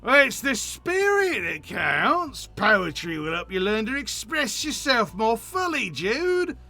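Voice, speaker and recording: A man's voice: sits high, big pitch swings, slow, sharp tone, voice pushed up, heavily acted. A man voicing a flamboyant, cheerful poetry enthusiast in theatrical, florid fashion, reading game dialogue aloud. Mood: cheerfully grandiose. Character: flamboyant, cheerful poetry lover pushing a shy friend.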